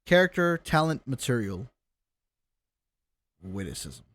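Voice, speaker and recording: A man's voice: mid-range voice, big pitch swings, unhurried, fairly bright tone, no echo. A man doing casual, joking game livestream commentary, swearing now and then as he talks to his chat. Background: video game music and sounds.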